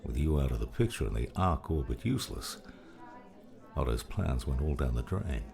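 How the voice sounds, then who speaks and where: A man acting a gravelly, very deep, cryptic mentor. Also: expressive, moderate pace, a crowd in the background, exaggerated voice. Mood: grave, explanatory. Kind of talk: dramatized audiobook dialogue. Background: background music.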